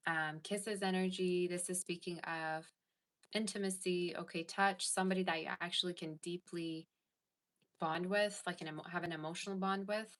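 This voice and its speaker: Chatty tarot lady, kinda low voice but really bright and crisp. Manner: chatty, reads live chat aloud. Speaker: tarot reader. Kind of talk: casual tarot reading to viewers.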